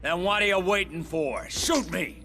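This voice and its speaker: An actor playing a gruff, curt, defiant mutant action hero in a video game. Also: male voice, high voice, moderate pace. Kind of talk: video game dialogue acting. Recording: loud game music under the voice.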